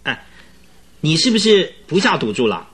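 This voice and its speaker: Excitable, high-pitched young horse trainer. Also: male voice, normal speed, husky, exaggerated voice. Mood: incredulous. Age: young adult. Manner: agitated, exclaiming, stammering. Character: excitable, pleading horse trainer in his mid-twenties. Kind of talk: radio drama dialogue.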